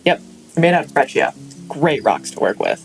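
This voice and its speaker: A woman playing a gentle, kindly sculptor in an apocalyptic western audio drama, with understated delivery. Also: a bass voice, wildly swooping pitch, normal speed, gravelly, soft background music, full character voice, low-quality recording. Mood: kind, shy.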